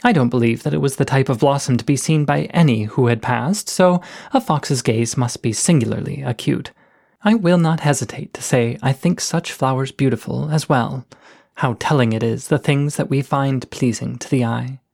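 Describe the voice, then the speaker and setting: A man's voice: medium pitch, creaky, darkish tone. A bookish male coyote pours out florid, courtly letters, as a man reads them aloud. His voice sits in a middle register. The delivery is earnest and polite throughout. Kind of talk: reading letters aloud from a story.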